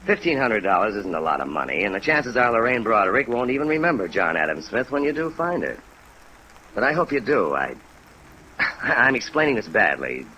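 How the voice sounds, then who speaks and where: An actor playing an earnest, discouraged American insurance claims man, halting and sincere, in a 1950s radio drama. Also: on the low side, very animated, bright, forceful tone, poor audio. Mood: earnest, weary. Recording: vintage radio recording with rain noise.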